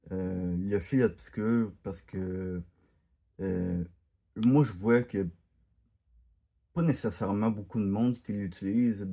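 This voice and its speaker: A man's voice: lowish voice, mellow. A man from Quebec with a Québécois French accent, talking casually over his gameplay, hesitant and full of fillers and restarts. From Quebec, Canada. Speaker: gaming video creator.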